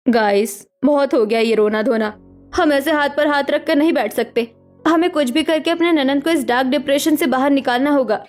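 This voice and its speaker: A woman's voice: sits high, normal speed, a little mellow, faint music behind, lightly acted. A woman voicing a kind, encouraging young sister-in-law in a cartoon story, with a coaxing, reassuring manner. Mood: concerned, resolved. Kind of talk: dramatic Hindi cartoon story acting.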